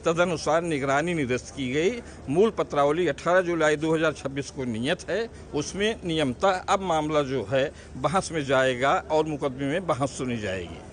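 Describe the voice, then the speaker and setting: A north Indian man with a slightly high voice gives a lawyer's statement to the press. His wording is formal and legalistic, and faint ambient noise sits behind him outdoors. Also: moderate pace, few pauses. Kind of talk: press statement on a court ruling.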